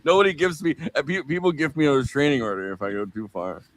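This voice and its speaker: A man's voice: medium pitch, big pitch swings, fast talker, background noise. A man co-hosting a casual livestream, jokey and rambling, slipping into silly voices. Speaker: stream co-host.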